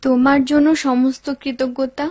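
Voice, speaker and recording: A woman playing a gentle, pious, grateful wife in a dubbed cartoon, speaking politely and devoutly. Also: high-pitched, little pitch movement, normal speed.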